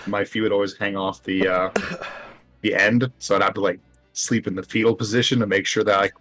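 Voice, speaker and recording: A man chatting casually and haltingly with friends during a video game. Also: fairly low pitch, level tone, fairly bright tone. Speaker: gaming video co-commentator. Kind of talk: casual chat over a game session. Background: game music.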